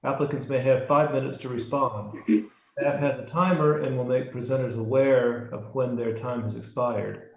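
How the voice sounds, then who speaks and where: A man with an American accent and a medium-pitched voice chairs a public meeting. He reads the rules in a formal, scripted way. The sound is noticeably roomy and echoing, as on an online meeting. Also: steady tone, moderate pace, slight background noise. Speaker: chair of a city review commission.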